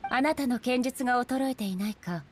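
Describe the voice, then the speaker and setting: An actress voicing a stern, solemn female warrior ruler in a Japanese video game, speaking in a formal, measured manner. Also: fairly high pitch, a little mellow, close to an everyday voice. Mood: solemn, commanding. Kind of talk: Japanese game character voice acting.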